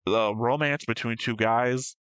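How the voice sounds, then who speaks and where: A man's voice: medium pitch, fairly even intonation, unhurried, fairly bright tone, smooth, dry recording. A man talks through his thoughts as a reaction show co-host, in an analytical but casual way. He rambles, swears freely and reasons aloud as he goes. The sound is dry and close. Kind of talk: casual analytical chat about an anime episode.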